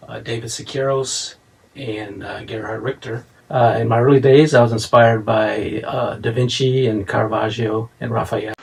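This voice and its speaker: A man with a gravelly voice introduces himself haltingly, pausing on many "uh"s. He sounds far from the mic. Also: medium pitch, fairly even intonation. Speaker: contestant artist. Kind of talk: short self-introduction.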